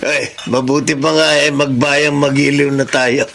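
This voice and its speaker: An actor playing a teasing tricycle-driver friend at a drinking session, in a radio drama. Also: male voice, medium pitch, little pitch movement, unhurried, vocal fry, a bit bright, slight background noise. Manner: teasing, joking.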